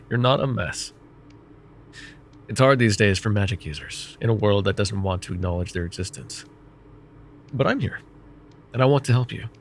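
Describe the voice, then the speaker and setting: A man voicing a vain, wry, middle-aged male mage who counsels a student in an ASMR roleplay, speaking in a calm, measured and gently teasing way. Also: fairly low pitch, slight background noise, close to an everyday voice. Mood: calm reassuring. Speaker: voice actor.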